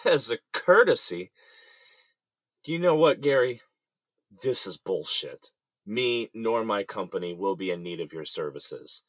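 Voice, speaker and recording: A man's voice: fairly low pitch, very animated, slow pace, pauses often, no room sound, heavily acted. A man playing an indignant, desperate company boss in jail, in an audio drama. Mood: angry, indignant.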